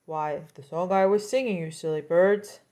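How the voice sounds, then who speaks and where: A woman's voice: lowish voice, normal speed, dark tone, close to an everyday voice. A woman voicing an eager, forgetful male coyote in a children's story, pleading and grumbling by turns. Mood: exasperated.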